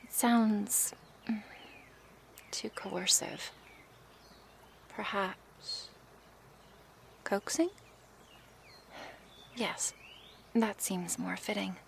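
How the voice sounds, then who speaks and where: A woman playing a gentle, curious, coaxing snake woman in an intimate ASMR roleplay, speaking softly and hesitantly in a breathy, often whispered voice. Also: mid-range voice, steady tone, slight background noise. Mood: tender, coaxing. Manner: slow, hesitant, soft-spoken with sighs and pauses. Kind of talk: ASMR roleplay, intimate one-sided dialogue.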